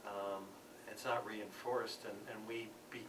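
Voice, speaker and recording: Low-voiced man, halting, distant mic. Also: little pitch movement. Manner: halting, lots of fillers and restarts. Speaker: peace program presenter. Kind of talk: conference panel talk. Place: church conference hall. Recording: distant, noisy conference recording.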